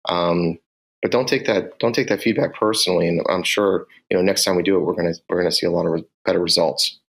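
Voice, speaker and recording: A man with a Boston accent, a sales team manager, answering podcast questions in a direct, measured way and explaining things step by step. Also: low voice, fairly even intonation, creaky, a bit bright.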